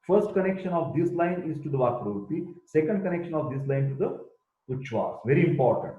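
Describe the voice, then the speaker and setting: Older Indian-accented professor, emphatic lecturer, tinny phone-like sound in a roomy echo. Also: male voice, fairly high pitch, expressive, a little fast. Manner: emphatic, repeats key terms, chants Sanskrit verses. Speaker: Ayurveda professor. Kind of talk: recorded lecture.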